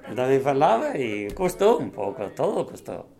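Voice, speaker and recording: A Portuguese-born man whose Spanish carries a Portuguese accent, recalling the past in a halting interview with many restarts. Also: medium pitch, very animated, gravelly, warm tone. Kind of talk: reminiscing interview.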